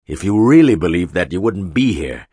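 A man plays a commanding magician-tycoon in a dramatized novel. The voice is deep and rough-edged, swinging widely in pitch as he makes his deliberate, cryptic points. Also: big pitch swings, warm tone, dry recording, heavily acted. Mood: persuasive. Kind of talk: dramatized audiobook dialogue.

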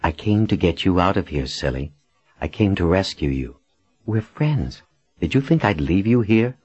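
A resolute woman leader read by a male narrator in a fantasy audiobook. The tone is firm, earnest and reassuring, with expressive pitch movement. The sound is husky and slightly dull. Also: moderate pace, exaggerated voice. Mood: fond reassurance.

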